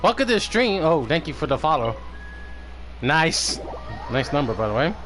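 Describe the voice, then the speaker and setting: A man's voice: fairly high pitch, very animated, normal speed, over music. A man giving casual, rambling live gameplay commentary, swearing and prone to giggling. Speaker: game streamer.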